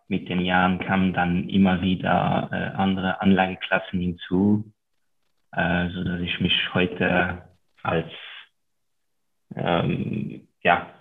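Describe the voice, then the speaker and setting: A man in his thirties from Luxembourg with a French accent, a guest on a podcast interview, talking hesitantly with many ums. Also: sits low. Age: 30s. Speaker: guest investor and author. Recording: tinny phone-like line.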